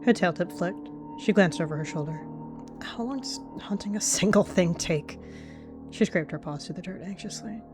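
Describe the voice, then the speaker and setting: Woman quietly reading roleplay narration. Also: lowish voice, moderate pace, over music.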